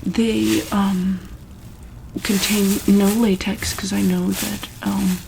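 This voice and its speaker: Slow, halting woman in soft ASMR roleplay. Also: mid-range voice, flat delivery, creaky, background noise. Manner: halting, hesitant, with frequent pauses and ums. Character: calm, caring female monster-hospital doctor. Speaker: ASMR roleplay performer playing a doctor.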